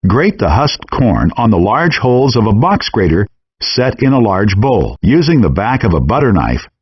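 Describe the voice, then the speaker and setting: The husky man's voice from a handheld cooking game's tutorial, walking you through each step with animated intonation out of a small, muffled speaker. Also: normal speed. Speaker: instructional narrator of a cooking game. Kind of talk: scripted instructional narration. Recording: dull, compressed low-fidelity game audio.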